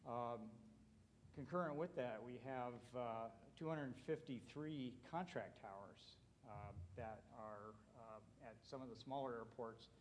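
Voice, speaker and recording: A man with an American accent giving an informal conference presentation, speaking conversationally with frequent filled pauses. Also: medium pitch, slight background noise. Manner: conversational, colloquial.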